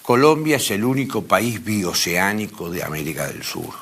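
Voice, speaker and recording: An Argentine man delivers an informal philosophy lecture with real emphasis. His voice is bright and forceful, and his pitch moves in lively sweeps. He often repeats a phrase to drive it home. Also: moderate pace.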